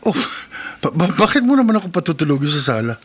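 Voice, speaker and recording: A man's voice: a little high, sing-song, fast, husky. An actor playing a frightened, bewildered taxi driver and earnest husband in a Filipino radio drama, stammering as he pleads. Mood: pleading.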